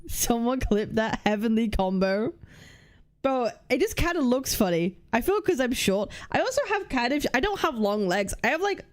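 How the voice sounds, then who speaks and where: Casting: young woman streamer, fairly high voice, blunt and crude, chatty and offhand. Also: sing-song, moderate pace. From United Kingdom. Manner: blunt, swears freely, thinks aloud while reading lists. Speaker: livestream host. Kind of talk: casual livestream chat reading chat aloud.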